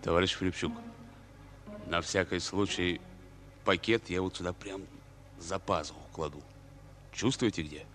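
An actor playing a stoic, terse Soviet infantry captain in a radio play, with a restrained delivery. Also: male voice, deep voice, steady tone, measured pace, long pauses, music in the background. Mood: tense, hushed. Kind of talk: Russian radio play acting.

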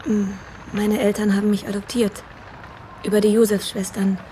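A woman's voice: medium pitch, level tone, warm tone, slight background noise, natural voice. An actress playing an anxious, polite young woman searching for answers in a radio drama, speaking hesitantly. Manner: hesitant, halting. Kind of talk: German radio drama acting.

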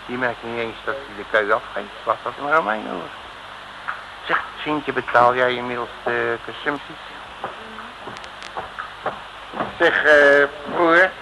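A man's voice: medium pitch, moderate pace, gravelly, lightly acted. An actor playing a brash, wily male detective with chatty, folksy patter in a 1960s Dutch radio comedy serial. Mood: sly. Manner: cheeky, folksy, wheedling patter. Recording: noisy old radio recording.

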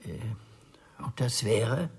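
An actor playing a fussy, timid, obsequious little undertaker in a radio play, halting and earnest, with a breathy, husky voice. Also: male voice, mid-range voice, heavily acted. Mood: meek. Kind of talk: German radio play acting.

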